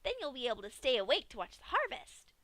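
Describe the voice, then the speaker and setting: A woman's voice: sits high, sing-song, fast talker, fairly bright tone, dry recording, voice pushed up. A woman voicing a giddy, sadistic female pink pony who talks in a chirpy, singsong way. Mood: sweetly menacing. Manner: chirpy and singsong, cheerfully menacing.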